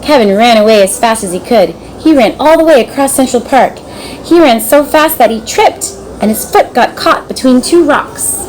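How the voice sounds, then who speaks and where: Female storybook reader, high-pitched, dramatic and expressive, with some background noise. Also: moderate pace, poor audio. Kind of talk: expressive scripted children's story narration.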